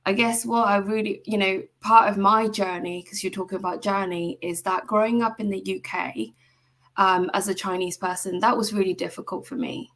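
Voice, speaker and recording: A woman from Hong Kong, a life coach and guest on a video-call podcast, friendly and lively. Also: medium pitch, moderate pace. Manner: friendly, lively, laughing easily. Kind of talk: casual interview.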